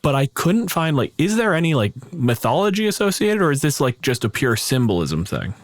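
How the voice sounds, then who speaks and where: A man's voice: on the high side, very animated, fairly quick, no room sound, studio quality. A man co-hosting a podcast in a conversational style. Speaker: podcast host. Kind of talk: casual discussion.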